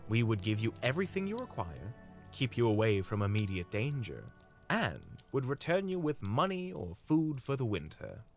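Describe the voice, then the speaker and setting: A man's voice: mid-range voice, wildly swooping pitch, normal speed, soft background music. Man playing courteous, silver-tongued fantasy monster hunter. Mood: courteous, persuasive. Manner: formal, flowery politeness. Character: courteous, smooth-talking male monster hunter. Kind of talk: fantasy audio roleplay acting.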